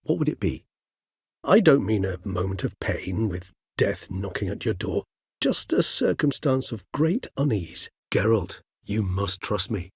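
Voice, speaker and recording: Husky, erudite ancient vampire gentleman. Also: lowish voice, sing-song, no room sound, clean studio sound, heavily acted. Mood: thoughtful, courteous. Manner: eloquent, formal, wryly measured, precise. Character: urbane, erudite ancient male vampire, courteous and loyal. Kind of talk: video game character acting.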